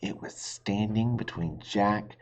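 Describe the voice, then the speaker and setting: A man's voice: fairly low pitch, slow pace. A man reading a children's storybook aloud expressively, like a teacher, on a slightly muffled recording. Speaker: reader, teacher.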